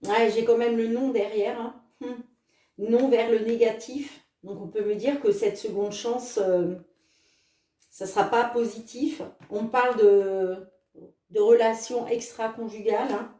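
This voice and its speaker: A woman's voice: on the high side, fairly even intonation, dark tone, recorded from a distance. Chatty woman reading cards in a roomy space. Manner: chatty, fills with "hein" and "les amis"; reads card messages slowly. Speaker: tarot card reader. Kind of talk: casual card reading to viewers.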